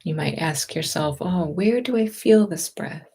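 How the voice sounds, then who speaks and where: Slow, gentle woman guiding meditation. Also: lowish voice, lively intonation, slightly rough. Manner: gentle, unhurried, reassuring. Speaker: yoga and meditation teacher. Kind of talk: guided meditation with gentle movement cues.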